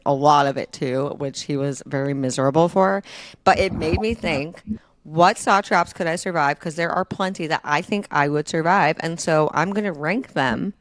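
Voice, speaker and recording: A woman co-hosting a podcast in casual, playful group chat with informal, slangy phrasing. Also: low-pitched. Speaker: podcast co-host.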